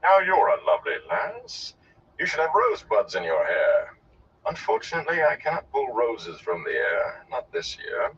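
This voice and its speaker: Audiobook gleeman, played by a man: flamboyant, scornful old entertainer, grand declamation with sweeping pitch, muffled and faintly noisy sound. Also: huge pitch swings, fairly bright tone, far from the mic. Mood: charming, flattering. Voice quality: deep, resonant. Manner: grandiloquent, declamatory, drawn-out delivery. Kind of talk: audiobook character voice.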